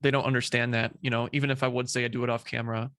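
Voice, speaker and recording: A young man speaking as a guest in a casual interview, talking in a halting way with many fillers and repeated words. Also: medium pitch, little pitch movement, fairly bright tone, no echo, clean studio sound. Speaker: guest, social media creator.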